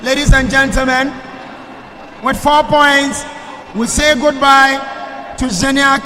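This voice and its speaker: A man's voice: very high voice, level tone, slow. A man with a Ghanaian accent hosting a live quiz show as quiz master, announcing ceremoniously and repeating phrases. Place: large hall with audience noise behind. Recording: echoey hall, loud crowd noise.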